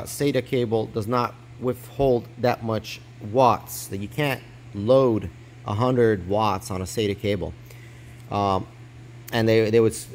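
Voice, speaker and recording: A man's voice: fairly low pitch, a little hiss or hum. Man casually explaining hardware on his phone. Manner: explains step by step, filming close-up with his phone. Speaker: crypto mining hardware explainer. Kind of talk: casual hands-on tutorial. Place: indoors at a desk. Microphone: phone held close, filming his hands.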